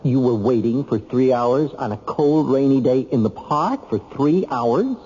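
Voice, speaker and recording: A dull radio drama recording with faint noise. An actor plays a grave, practical councilman in his thirties with a mellow voice. He questions and presses soberly. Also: medium pitch, lively intonation, a little slow, lightly acted. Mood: probing. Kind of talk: old-time radio drama acting. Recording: dull, lightly noisy old radio recording.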